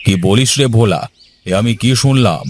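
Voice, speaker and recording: A man's voice: fairly low pitch, animated, normal speed, slight background noise, close to an everyday voice. A man voicing a chatty, curious neighbour in a cartoon. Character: older man, friendly neighbour, nosy.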